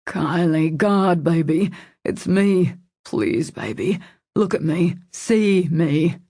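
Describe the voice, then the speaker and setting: A woman narrator voicing an anguished, protective man who pleads urgently with his lover in a husky, gravelly voice. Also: low-pitched, a little slow, darkish tone, no room sound, clean studio sound, heavily acted. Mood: worried pleading.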